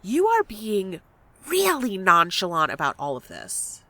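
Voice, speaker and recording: A woman playing an anxious, suspicious, sharp-tongued young woman in an audio drama, blunt and pressing. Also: medium pitch, wildly swooping pitch, unhurried, dry recording, full character voice. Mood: skeptical.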